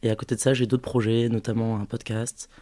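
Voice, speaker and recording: A man in his twenties, a podcast guest who was born in French Guiana, talks through his answers with frequent pauses and restarts. His voice is mid-pitched and stays flat, with little rise or fall. The sound is dry and close, with no room echo. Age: 20s. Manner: hesitant, thinking aloud with many pauses. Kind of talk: casual interview testimony.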